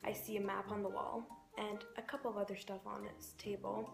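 Young female, mid pitch, sarcastic storytelling, dramatic pauses, roomy echo. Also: flat delivery. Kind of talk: dramatic comic reading from a book. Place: bright bedroom indoors. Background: clear music.

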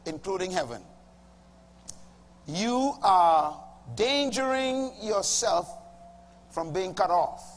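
Man, Bahamian accent, middle-aged, pastor, emphatic sermon, high-pitched, long hall reverb. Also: big pitch swings, slow pace, pauses often. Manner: emphatic, swings from loud bursts to low asides. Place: large church auditorium with a congregation. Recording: reverberant hall, faint hum.

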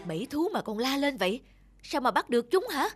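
A woman voicing a stern, traditional hunter father in a fairy-tale cartoon, gruffly lecturing. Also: high voice, very animated, a little fast, over music. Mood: instructing. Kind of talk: animated fairy-tale dubbing.

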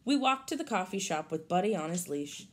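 A woman's voice: fairly low pitch, sing-song, brisk. A woman in her thirties reading a picture book aloud to young children like a teacher, expressively, with casual explanatory asides. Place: small room at home, indoors. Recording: home recording with clear background noise.

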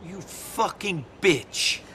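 Weary immigrant crook, Eastern European accent, blunt, animated intonation, game cutscene, clear background noise. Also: male voice, high-pitched, very slow, heavily acted. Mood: bitter disbelief. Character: world-weary immigrant criminal, man, blunt and guarded. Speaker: video game voice actor. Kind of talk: video game cutscene acting. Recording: game audio over clear traffic noise.

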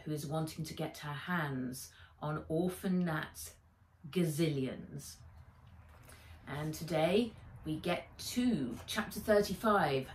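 A middle-aged woman author reading her children's story aloud, deliberate and dramatic, recorded in a room at home. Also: sits low, recorded from a distance. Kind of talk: expressive children's story narration. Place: indoors, living room or garden room at home. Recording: roomy, echoing home recording.

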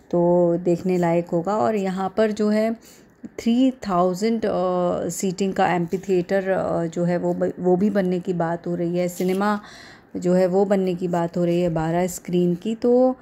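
Woman, Indian accent, lowish voice, very even intonation, halting delivery, casual vlog narration. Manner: hesitant, halting delivery with repeated filler phrases. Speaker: vlogger.

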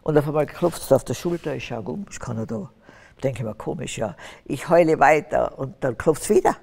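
Fervent older woman, gravelly. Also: very deep voice, brisk, darkish tone. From Austria. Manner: fervent, preaching, rising to emphatic bursts. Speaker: guest. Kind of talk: testimony interview on stage. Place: stage with curtains, large hall. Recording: reverberant hall sound.

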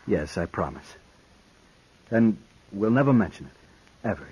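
An actor playing a stiff, proud, condescending gentleman suitor, formal in speech, in a 1950s radio western. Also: on the low side, big pitch swings, measured pace, slightly rough, exaggerated voice, low-quality recording. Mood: strained, earnest. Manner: formal, clipped, self-important.

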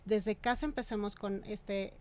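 A woman's voice: mid-range voice, steady tone, a little mellow, slight background noise. Chatty Mexican woman host. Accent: Mexican Spanish. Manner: chatty, quick, friendly interviewer. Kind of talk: studio radio interview. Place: small radio studio. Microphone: desk mic on stand.

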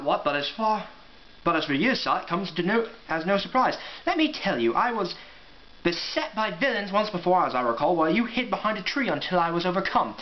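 Storybook hare maid, played by a man: young, prim, plucky, indignant, raised pitch, muffled noisy recording. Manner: huffy, proper, old-fashioned phrasing. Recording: dull, noisy amateur room recording.

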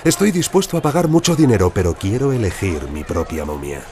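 An actor playing a proud, demanding American senator and collector with a pompous, theatrical manner in an audio drama. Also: male voice, on the low side, very animated, brisk. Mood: bargaining, haughty.

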